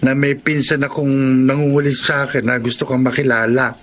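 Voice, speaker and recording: A man's voice: mid-range voice, fairly even intonation, brisk, a bit bright, slight background noise, lightly acted. An actor playing a skeptical, teasing young man with a casual, prodding manner in a Tagalog radio drama. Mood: teasing, doubtful.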